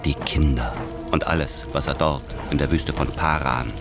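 Grave war chronicler, extremely deep voice, solemn halting phrases, radio play, loud music behind. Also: male voice. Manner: halting, broken into short phrases. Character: grave, weighty male chronicler of an ancient war. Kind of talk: solemn radio drama narration.

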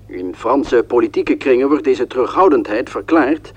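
A man playing a formal, measured radio news correspondent with a husky voice, in a dull radio recording. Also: mid-range voice, animated.

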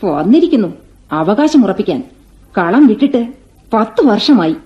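A woman's voice: mid-range voice, sing-song, moderate pace, lightly acted. A woman playing a resolute, motherly keeper of the house. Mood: firm. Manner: firm, protective, motherly. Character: lonely, resolute woman guarding the family house. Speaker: radio drama actor. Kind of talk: 1980s Indian radio play acting. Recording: dull old radio recording with faint music.

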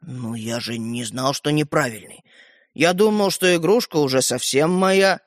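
A man voicing a bewildered schoolboy in a children's story, mild but stubborn, speaking haltingly and unsurely. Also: fairly high pitch, animated, no room sound, clean studio sound. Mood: hesitant, confused.

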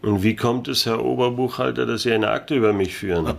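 A man's voice: medium pitch, fairly quick, gravelly, close to an everyday voice. A man playing a wry, hard-boiled private detective in a noir radio play, with a dry, sardonic delivery. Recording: music under the voice. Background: music.

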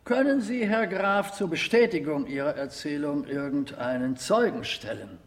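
An actor playing a stern but courteous committee president, questioning with formal authority in a radio drama. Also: male voice, on the high side, lively intonation, normal speed, natural voice. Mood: probing. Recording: hall with long reverb.

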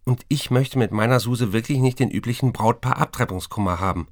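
A man's voice: mid-range voice, a little fast, no room sound, lightly acted. An actor playing a besotted, restless writer in a radio play, reading his letters aloud with dry, precise, literary phrasing. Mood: matter-of-fact.

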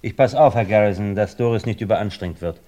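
An actor playing a doctor who lays out theories in a calm, explanatory way in an old radio play. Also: male voice, fairly low pitch, fast, lightly acted. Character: calm male doctor friend, probing theorist.

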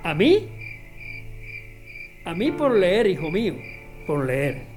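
Animated, motherly older woman prisoner. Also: fairly low pitch, takes it slowly, over music. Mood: bitter, tender. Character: defiant older Republican woman prisoner facing execution, motherly. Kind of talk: radio drama acting.

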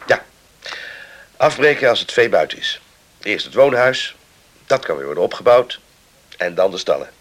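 An actor playing a brisk, practical young farm man in a radio drama, emphatic and clipped. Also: lowish voice, sing-song, fairly bright tone. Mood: practical, earnest. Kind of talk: Dutch radio drama acting.

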